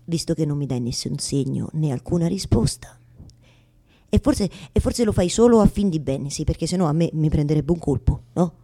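A woman's voice: low-pitched, expressive, moderate pace, warm tone. Neurotic, lovelorn woman pleading with heaven. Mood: pleading, wry. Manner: rambling, repetitive, colloquial, with rhetorical tag questions. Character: neurotic, lovelorn woman ranting intimately at God. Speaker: stage actress in a solo monologue. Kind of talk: theatrical radio monologue addressed to God.

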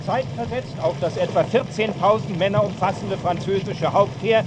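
An actor playing a reporter detailing troop movements formally in a radio drama. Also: male voice, high voice, moderate pace, lightly acted. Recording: clear watery outdoor noise behind.

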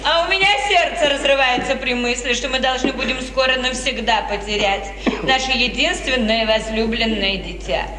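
A woman's voice: fairly high pitch, moderate pace, hardly any pauses, close to an everyday voice. An actress playing an anxious, pleading, protective middle-aged mother, expressively declaimed in 1950s Russian radio theatre. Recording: noisy, reverberant 1950s radio recording, distant.

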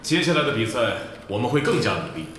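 A man's voice: medium pitch, big pitch swings, a bit bright. A man playing a formal, evasive club manager giving a rehearsed press statement in an audio drama, in a very echoey hall. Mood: grave, diplomatic. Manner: measured, official, rehearsed. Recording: very echoey hall, faint noise, ambience.

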